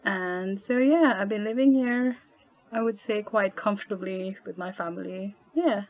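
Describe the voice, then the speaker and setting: A woman's voice: mid-range voice, a little slow, darkish tone, muffled recording. A woman in her twenties from Nepal, talking casually as a podcast guest with many fillers and frequent laughs. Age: 20s. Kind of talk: casual interview chat.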